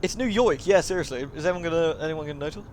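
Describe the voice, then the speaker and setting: A man's voice: fairly high pitch, very fast, darkish tone, a little hiss or hum. A man streaming a visual novel, reading the narration aloud dramatically and breaking into playful, chatty asides to his viewers. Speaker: live streamer.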